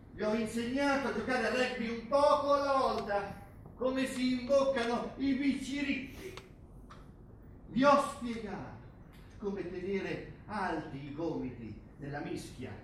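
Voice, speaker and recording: An actor playing a coarse, hard-driving rugby coach who harangues his team in a theatrical, foul-mouthed way. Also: high voice. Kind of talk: theatrical stage acting. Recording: distant, very echoey, faintly noisy stage recording.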